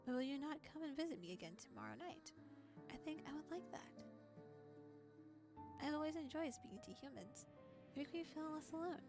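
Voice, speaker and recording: A woman voicing a gentle, gloomy young mermaid in a visual novel, wistful and formal in her old-fashioned wording. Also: high-pitched, expressive, a little fast, pauses often, music in the background. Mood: wistful, lonely.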